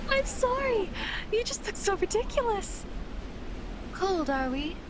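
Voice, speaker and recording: A woman's voice: very high voice, very noisy, full character voice. A woman playing a playful, teasing demon girl who guides dead souls, in a coy, dramatic ASMR roleplay. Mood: amused, gloating. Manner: coy, mischievous, mock-sympathetic, dramatic. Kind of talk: ASMR roleplay, acted monologue to the listener.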